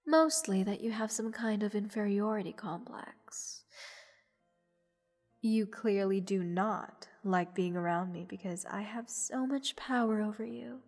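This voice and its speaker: A woman playing a haughty, bored goddess in a roleplay audio drama, condescending and teasing in a languid, breathy delivery. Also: mid-range voice, unhurried, darkish tone. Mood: condescending, analytical. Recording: long reverb, music underneath. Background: orchestral music.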